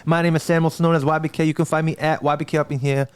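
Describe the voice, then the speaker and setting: A man's voice: fairly high pitch, little pitch movement, clean tone. A man co-hosting a casual sports podcast, hyped and full of catchphrases. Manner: hyped, quick, lots of catchphrases. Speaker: podcast co-host.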